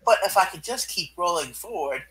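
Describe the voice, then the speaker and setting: A performer voicing a bold, flirty female costumed thief with a playful, breathy drawl in a comic book puppet reading. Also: medium pitch, lively intonation, a little fast, fairly bright tone, a little hiss or hum, natural voice. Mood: plucky.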